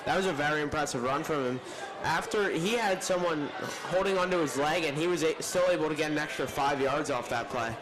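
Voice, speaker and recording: A man giving measured, analytical color commentary on a high school football game. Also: crowd noise. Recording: noisy live stream audio.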